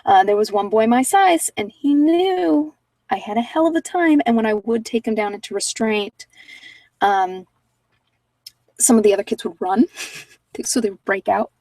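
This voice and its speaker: A woman's voice: a little high, normal speed, a little mellow, dry recording, distant mic, rough recording. A middle-aged American woman chatting casually and candidly to her viewers, rambling from one thought to the next. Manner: rambling, candid, digressive storytelling. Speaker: vlogger chatting with live viewers. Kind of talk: casual chat.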